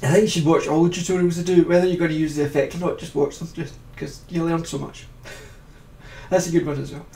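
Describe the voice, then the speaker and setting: A man's voice: a little high, level tone, fairly quick, warm tone, echoey room, far from the mic. A man with a stuffed-up nose from a cold, chatting in a jokey, self-deprecating way while hosting a casual editing tutorial stream.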